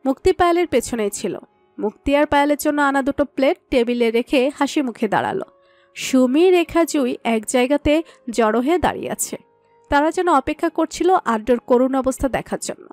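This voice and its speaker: High-voiced woman narrating a story. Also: animated, a little fast, a little mellow, no echo, soft background music.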